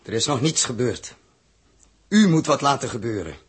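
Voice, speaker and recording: Anguished spaceship captain, husky and intense. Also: male voice, medium pitch, sing-song, moderate pace. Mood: urgent, commanding. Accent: Netherlands Dutch. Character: spaceship captain, man, anguished, weary, commanding. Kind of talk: 1960s Dutch radio drama acting.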